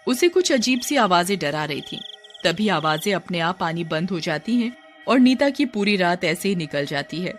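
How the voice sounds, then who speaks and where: Female storyteller, scripted cartoon horror narration, animated intonation, light music behind. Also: medium pitch.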